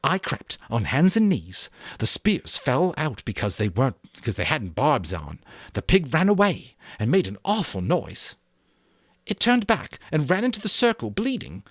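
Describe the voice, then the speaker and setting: A man voicing a proud, domineering boy who leads the hunters, boasting and shouting at the others in an audiobook reading. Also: medium pitch, huge pitch swings, moderate pace, dry recording, studio quality, pitched above the performer's own voice. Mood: boastful. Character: furious boy hunting leader, proud, domineering.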